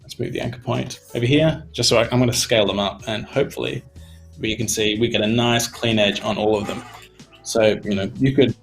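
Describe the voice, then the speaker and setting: A young man from Australia talks through an animation lesson in a loose, chatty way, full of small fillers. His voice is gravelly, with soft music playing faintly underneath. He is at a desk in his home studio. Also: lowish voice, fairly quick. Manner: relaxed, chatty, many fillers like "you know". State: voice tiring. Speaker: animation instructor. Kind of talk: casual live-stream tutorial.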